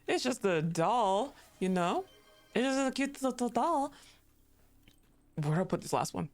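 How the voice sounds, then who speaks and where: A woman's voice: fairly high pitch, sing-song, measured pace, a little mellow, faint music behind. A woman streaming a game live, giggling often, swearing freely and gushing thanks to her chat.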